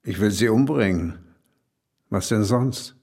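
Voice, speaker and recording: An actor playing a brawny, cryptic village pub landlord in an audio drama, terse and deadpan with a slightly eerie air. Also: male voice, lowish voice, a little slow, husky. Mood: deadpan menace. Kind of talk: German audio drama acting.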